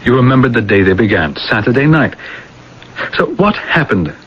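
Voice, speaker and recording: An actor playing an analytical, fatherly psychiatrist who questions insistently and deliberately, in 1980s radio drama style. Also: mid-range voice, very animated, slightly rough. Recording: dull, hissy old radio broadcast recording.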